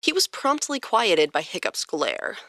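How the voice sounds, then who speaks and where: A woman's voice: fairly low pitch, huge pitch swings, unhurried, pushed and bright, no room sound. Dry, close-sounding woman narrating a story. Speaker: podcast host and story narrator. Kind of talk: expressive scripted story narration. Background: music.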